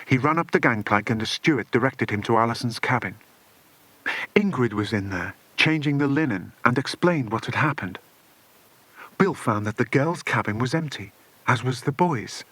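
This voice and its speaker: A man reading a children's adventure story as a dramatic, expressive audiobook narrator. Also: medium pitch, normal speed, breathy edge, dry recording.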